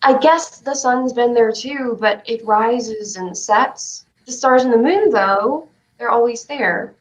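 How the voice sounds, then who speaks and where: A woman's voice: fairly high pitch, takes it slowly. A woman playing an anxious, pleading child in a staged reading of a play. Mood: wistful. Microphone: video call. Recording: muffled livestream call audio.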